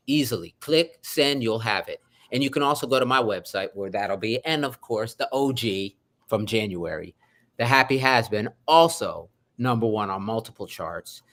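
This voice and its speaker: Effusive, animated man talking. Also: mid-range voice. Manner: effusive, animated, swings loud and soft. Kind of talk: energetic interview conversation.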